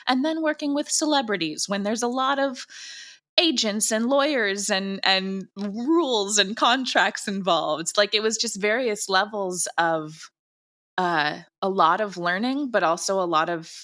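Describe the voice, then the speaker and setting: A woman's voice: mid-range voice, measured pace, a bit bright. A woman chatting casually as an interview guest, effusive and emphatic about her work. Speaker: audio drama creator and director, interview guest.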